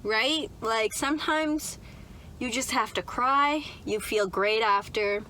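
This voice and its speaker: A young woman talks straight to her phone from the seat of a car. Her voice sits at an ordinary middle pitch, and she rambles in a friendly, upbeat way, tagging thoughts with "like" and "right?". A faint hiss sits under the recording. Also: fairly bright tone, low-quality recording. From Canada. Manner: chatty, upbeat, full of "like" and "right?". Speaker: vlogger. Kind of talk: casual chat to camera. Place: inside a parked car.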